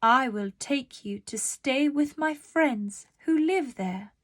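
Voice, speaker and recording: A woman voicing a brisk, firm aunt in a children's storybook, giving instructions deliberately. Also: sits high, expressive, pitched above the performer's own voice, full character voice.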